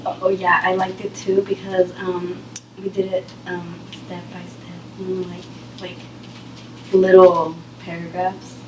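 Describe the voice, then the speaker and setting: A student answering in a small reading group, with short, hesitant replies full of fillers. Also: female voice, fairly low pitch, completely flat delivery, a little slow, background noise. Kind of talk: classroom discussion. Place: classroom. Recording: echoey room, far from the mic.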